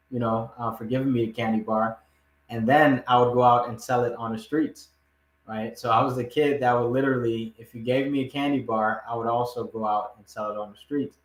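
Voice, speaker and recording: A man from Ghana who grew up partly in the United States, telling a personal story as a podcast guest in an emphatic, repetitive conversational way. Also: mid-range voice. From Ghana, moved to the United States aged eight. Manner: emphatic, repetitive, often checks in with "right?". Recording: roomy, echoing room sound.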